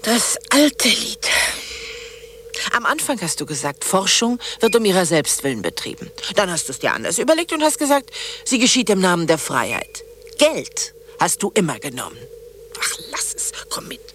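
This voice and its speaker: An actress playing a bitter, sarcastic middle-aged ex-wife in a German radio play, theatrical and cutting. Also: female voice, mid-range voice, very animated, moderate pace, a bit bright, slight background noise. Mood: scornful, angry. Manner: sarcastic, mocking, dramatic.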